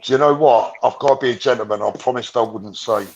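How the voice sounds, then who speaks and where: A man's voice: medium pitch, fast talker, gravelly, dull audio. A man chatting in a friendly, easygoing way as a guest on a call. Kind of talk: casual chat.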